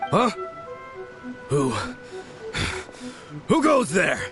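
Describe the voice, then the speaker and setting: A man voicing a manic, possessed man obsessed with manliness, halting and theatrical, in a video game with music behind. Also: sits high, very animated, exaggerated voice. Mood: startled. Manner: halting, theatrical, swinging wildly.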